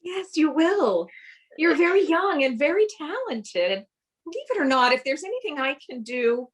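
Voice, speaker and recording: A woman acting in a radio play as an excitable, primly indignant society wife. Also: high-pitched, moderate pace. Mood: firm, indignant.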